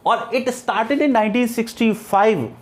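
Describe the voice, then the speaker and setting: A man's voice: very high-pitched, a little slow. A man with an Indian accent teaching an exam-preparation lesson in a didactic way, repeating key points and often checking in with short tags. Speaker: English literature lecturer. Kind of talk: exam-preparation lesson mixing explanation and read-out questions.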